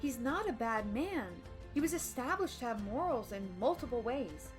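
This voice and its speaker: High-voiced woman giving an emphatic video essay. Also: expressive, moderate pace. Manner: emphatic and dramatic, slipping into casual slang. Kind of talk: opinionated video essay commentary on a film. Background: background music.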